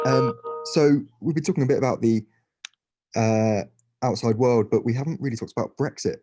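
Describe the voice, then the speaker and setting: A man's voice: on the low side, dark tone. A man casually relaying audience questions on a video call.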